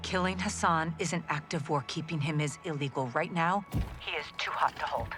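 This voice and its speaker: A woman playing a cool, pragmatic intelligence officer, measured and cautioning. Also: sits low, a bit bright, faint music behind. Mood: firm, cautioning.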